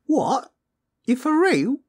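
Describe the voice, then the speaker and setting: A man voicing an eager, slangy underling who runs errands, with a squeaky, husky voice, in an improvised fantasy roleplay. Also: sing-song, slow, a little mellow, exaggerated voice. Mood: incredulous. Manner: slangy, blunt, deferential. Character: eager, scrappy underling errand-runner named Garbo.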